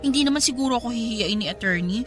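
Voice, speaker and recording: A woman's voice: fairly high pitch, fast, faint music behind, natural voice. A woman with a Filipino accent acting a smitten, cheeky young photography student who gets flustered in a radio drama. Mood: giddy, smitten. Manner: expressive, stammering when flustered.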